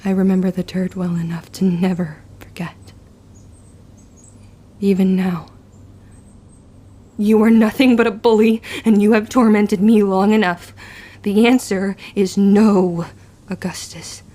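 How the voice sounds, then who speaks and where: Woman playing a slow-spoken, mellow young witch. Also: medium pitch, little pitch movement, lightly acted. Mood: cold fury. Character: young witch Tilla, wounded, vengeful, guilt-ridden narrator. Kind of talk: dramatic audio-drama acting and confessional narration.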